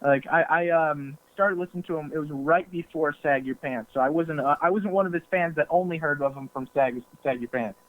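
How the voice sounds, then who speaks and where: A man's voice: fairly high pitch, a little fast, few pauses. Young man on a tinny phone line. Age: young adult. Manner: laughing easily, hesitant, filler-heavy. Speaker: podcast guest. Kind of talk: casual podcast chat.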